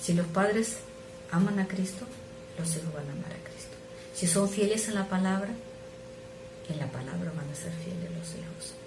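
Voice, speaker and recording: A middle-aged woman who is not a native speaker, preaching an earnest, measured sermon that sometimes drops to soft asides. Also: on the low side. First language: not Spanish. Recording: echoey room with noise.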